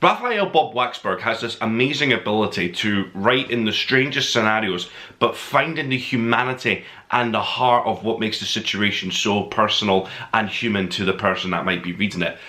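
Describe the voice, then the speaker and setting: A man's voice: fairly low pitch, normal speed, sharp tone. A man hosting an informal book review podcast, speaking in a gushing, emphatic way and leaning on key words.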